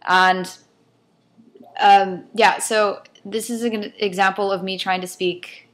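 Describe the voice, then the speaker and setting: A woman's voice: mid-range voice, flat delivery, slow pace. A young woman from California with a mixed, Chinese-influenced Californian accent, rambling hesitantly in a casual vlog rant. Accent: California, mixed with a Chinese-immigrant lilt. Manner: rambling, hesitant, thinking aloud with many ums. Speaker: vlogger.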